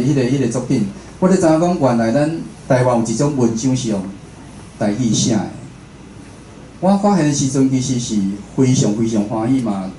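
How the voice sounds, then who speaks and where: Casting: male radio host, Taiwanese accent, mellow tone, chatty lecture delivery, roomy sound with faint noise. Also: mid-range voice. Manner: conversational, asks the listeners rhetorical questions. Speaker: radio host and station director giving a talk. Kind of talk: informal spoken lecture. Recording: echoey room with faint background noise.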